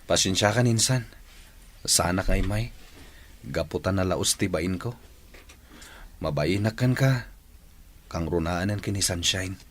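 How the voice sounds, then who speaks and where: A man's voice: low voice, measured pace, long pauses, a bit bright. A man playing an ashamed, apologetic young cousin in an Ilocano radio drama, with an Ilocano accent.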